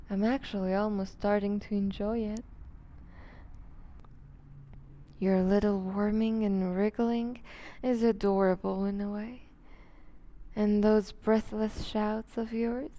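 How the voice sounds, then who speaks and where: Creaky, slow-talking seductive vampire duchess, played by a woman. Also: mid-range voice, dark tone, muffled recording. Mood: amused, possessive. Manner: velvety teasing, condescending, unhurried, often dropping to whispers. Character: seductive, dominant vampire duchess, predatory and possessive. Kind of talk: ASMR roleplay, intimate scripted monologue.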